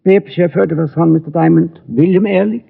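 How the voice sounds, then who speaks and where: A man playing a small, polite German gunmaker in his sixties in a radio drama, speaking halting, formal English with a German accent. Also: fairly high pitch, fairly even intonation, vocal fry. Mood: earnest. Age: 60s. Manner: halting, formal, foreign phrasing. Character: small, polite elderly German immigrant gunmaker seeking help. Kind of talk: 1940s radio drama acting. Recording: tinny vintage radio recording.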